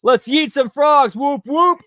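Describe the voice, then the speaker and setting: A man's voice: very high voice, slow pace, fairly bright tone, dry recording, studio quality. A man hosting a live wrestling watch-along, chatting casually and tacking "pal" onto his remarks. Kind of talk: casual live commentary over a broadcast.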